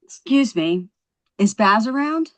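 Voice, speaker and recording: A woman at a script table read playing a quick-witted young housewife, chatty, coaxing and wry. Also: a little high, animated. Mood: polite, persistent.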